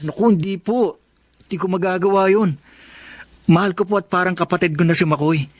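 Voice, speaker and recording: A man's voice: sits high, brisk, no echo, natural voice. A man playing a polite, hardworking young orphan with a Filipino accent in a Tagalog radio drama, earnest and deferential. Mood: earnest, warm.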